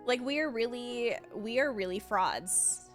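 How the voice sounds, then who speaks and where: A woman's voice: on the high side, a little slow, music in the background. A woman streamer giving wry, chatty reactions while playing a story game. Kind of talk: casual reaction commentary.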